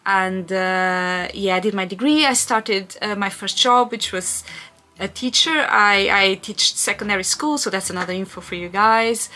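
Bright chatty Portuguese-accented woman. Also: mid-range voice, steady tone, normal speed. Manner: chatty, rambling, frequent fillers and restarts. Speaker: vlogger. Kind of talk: casual Q&A chat.

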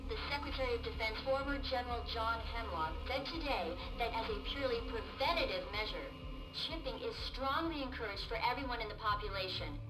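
An actress playing a formal news announcer reading a scripted bulletin in a video game. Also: female voice, fairly high pitch, expressive, natural voice. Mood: official, detached. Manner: formal, measured reporting. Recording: echoey distant broadcast with loud noise.

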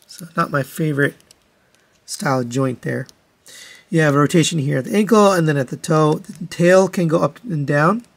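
A man with a slightly high voice gives casual review commentary. He works through things methodically, in a matter-of-fact, demonstrating way, as a toy reviewer would. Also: moderate pace, vocal fry.

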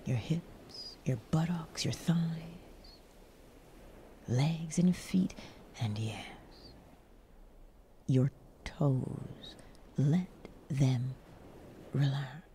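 A woman leads a nighttime guided meditation in a low, mellow voice with a creaky edge. She is breathy and soothing, often close to whispering, and leaves generous pauses between short, gentle instructions. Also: slight background noise.

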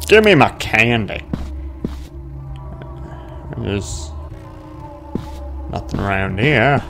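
A man with a lowish, creaky voice commentates casually on a video game. He rambles and mutters to himself at an unhurried pace, with music playing behind him. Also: sing-song, a bit bright. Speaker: gamer hosting a playthrough.

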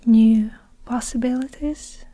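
A young woman tells a story in a breathy ASMR whisper. She moves extremely slowly and deliberately, leaving long gaps between phrases. Her delivery stays even and very mellow. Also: a little high. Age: young adult. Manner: slow, hushed, deliberate, with long pauses. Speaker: ASMR performer narrating a story.

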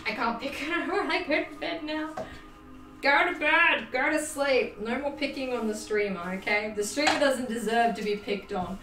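A young woman with an Australian accent chats on a livestream, her slightly high voice animated and full of self-mocking laughs. She sounds set back from the mic in a roomy space, with faint music playing behind her. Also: moderate pace, far from the mic. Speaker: livestream host. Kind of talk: casual livestream chat. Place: home sewing room.

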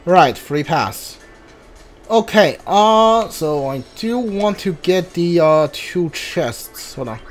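A streamer talks through a video game in a casual, halting way, thinking aloud. The pace is slow and drawn out, at a medium pitch, with game music playing behind the voice. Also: animated. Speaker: gameplay streamer. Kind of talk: casual playthrough commentary. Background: video game music and sounds.

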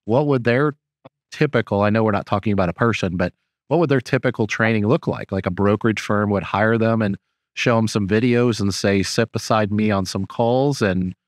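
A man's voice: lowish voice, normal speed, no echo. A man co-hosting a podcast asks rambling, self-correcting questions in casual talk at a studio mic. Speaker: podcast co-host.

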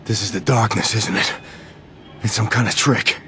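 A man playing a confused, distressed mobster held as an asylum patient in a video game, with a gravelly voice. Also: lowish voice, steady tone, normal speed, pushed and bright, slight background noise. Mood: bewildered, distrustful. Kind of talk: dramatic video game acting.

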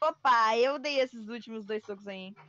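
A man's voice: very high-pitched, normal speed, smooth. A young male host casually chatting and teasing friends while playing an online game. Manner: excitable, teasing, sing-song outbursts. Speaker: channel host. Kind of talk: casual gameplay chat. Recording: dull lo-fi voice chat.

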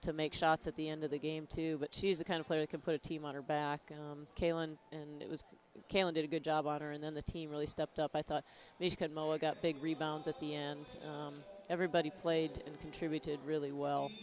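A woman's voice: low voice, flat delivery, a little fast, few pauses, slight background noise. A tired American woman in her thirties, a basketball coach giving a post-game interview on a headset mic. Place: courtside in an indoor gym.

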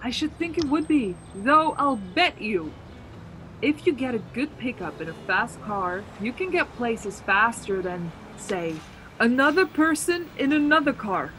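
A woman's voice: on the high side, very animated, measured pace, a bit bright, a little hiss or hum, low-quality recording. An actress playing a frightened, desperate woman driver in a suspense radio play, with dramatic intensity. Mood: friendly, probing. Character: frightened, increasingly desperate woman driver haunted on a road trip. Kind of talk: suspense radio play acting and first-person narration.

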